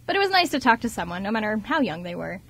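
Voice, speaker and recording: Mid-pitched woman narrating a radio story. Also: big pitch swings, fast talker, a bit bright, husky. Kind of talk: scripted story narration for amateur radio drama.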